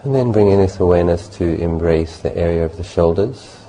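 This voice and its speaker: A man leading a guided meditation as a teacher, speaking gently and unhurriedly in short phrases with long pauses. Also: low voice, rough recording. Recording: faint background noise.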